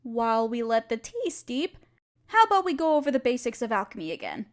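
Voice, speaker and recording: High-voiced, encouraging alchemist woman acted out by a woman. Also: big pitch swings, brisk. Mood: warm, friendly. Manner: gentle, patient, reassuring teacher. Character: kind, encouraging female alchemist teaching her apprentice. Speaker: voice actor in a scripted audio roleplay. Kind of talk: intimate scripted roleplay, one-sided conversation with listener.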